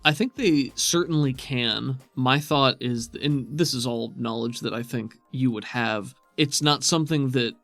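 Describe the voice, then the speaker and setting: Man, game master, medium pitch, descriptive narration, conversational rulings, music underneath. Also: unhurried, few pauses, fairly bright tone, no echo. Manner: descriptive, conversational, talking rulings through aloud. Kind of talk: narrating and refereeing a tabletop roleplaying game.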